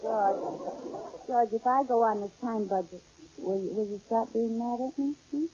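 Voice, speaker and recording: An actress with an American accent playing a scatterbrained, playful, affectionate housewife in a 1950s-style radio comedy. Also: female voice, fairly high pitch, moderate pace, close to an everyday voice. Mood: coaxing. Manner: coaxing, playful. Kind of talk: 1950s radio sitcom acting. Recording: dull, very noisy vintage radio recording.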